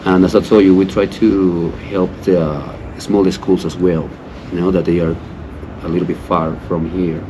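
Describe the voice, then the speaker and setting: Deep-voiced Peruvian man over background noise. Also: level tone, normal speed. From Cusco, Peru. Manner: repeats "you know" often. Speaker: local partner in conversation. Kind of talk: casual conversational explaining. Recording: clear background ambient noise.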